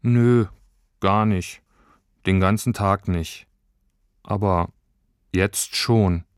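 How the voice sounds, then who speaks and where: A man's voice: fairly low pitch, very slow, clean tone, dry recording, studio quality. A man voicing a homesick young girl in a storybook, candid and childlike. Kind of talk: storybook character voice.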